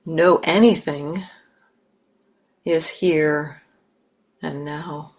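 Woman, meditation teacher, low pitch, slow measured delivery, short phrases with pauses. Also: steady tone, darkish tone, husky. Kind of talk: guided meditation.

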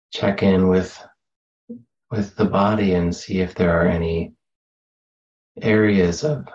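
Slow, low-voiced man guiding meditation. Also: lo-fi sound. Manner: gentle, unhurried, with long pauses. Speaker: meditation teacher. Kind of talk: guided meditation. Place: meditation center.

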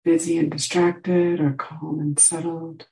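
A woman teaching a guided meditation, giving gentle instructions with long pauses between short phrases. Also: deep voice, little pitch movement, gravelly, poor audio.

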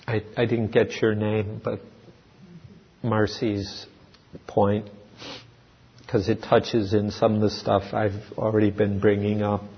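A man giving an unscripted dharma talk at a meditation center, speaking slowly and thoughtfully, pausing and restarting as he works through ideas. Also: lowish voice, little pitch movement, husky. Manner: slow, thoughtful, with restarts and pauses. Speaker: dharma teacher. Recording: dull lo-fi recording with long hall reverb.

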